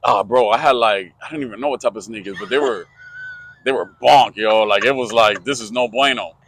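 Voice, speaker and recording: Bright-voiced Puerto Rican man, rambling. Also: lowish voice, brisk, a little hiss or hum. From Puerto Rico. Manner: rambling, full of fillers, acts out quotes in voices. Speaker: podcast co-host. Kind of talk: casual storytelling chat.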